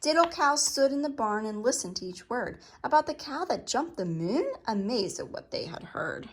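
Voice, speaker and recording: A woman reads a children's picture book aloud. Her voice sits a little high, and she delivers the lines expressively, as a storyteller for young listeners. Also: big pitch swings, moderate pace, a little hiss or hum.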